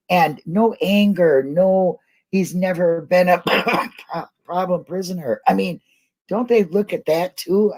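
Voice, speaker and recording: A woman's voice: mid-range voice, darkish tone. A middle-aged woman hosting a casual live online discussion, chatting in a colloquial, rambling way. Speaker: host of an online talk show.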